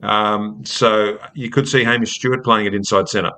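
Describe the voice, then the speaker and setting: A man, a rugby writer and podcast guest giving measured, casual analysis. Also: on the low side, steady tone, bright, forceful tone, low-quality recording. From Australia.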